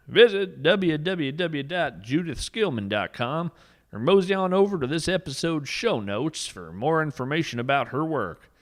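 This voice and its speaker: Man acting as whimsical naturalist adventurer, male, folksy, theatrical, earnest, very animated intonation, scripted podcast storytelling. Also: a little high. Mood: friendly, informative. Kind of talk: scripted fiction podcast narration.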